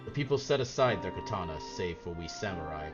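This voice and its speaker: Man voicing a solemn, deep samurai master. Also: expressive, brisk, muffled recording. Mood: solemn, measured. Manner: grave, archaic formal phrasing. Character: solemn, formal samurai master instructing a pupil. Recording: game music behind the voice.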